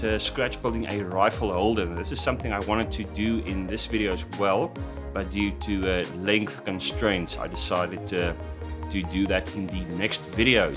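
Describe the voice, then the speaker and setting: A man hosting a scale model tutorial in voice-over, talking hesitantly with many "uh" fillers and restarts, his voice slightly croaky after an illness. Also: on the low side. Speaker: scale model building host. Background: background music.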